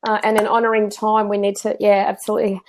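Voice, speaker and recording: A woman's voice: mid-range voice, level tone, quick pace. Effusive woman hosting a video chat. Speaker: host. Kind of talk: casual group video chat.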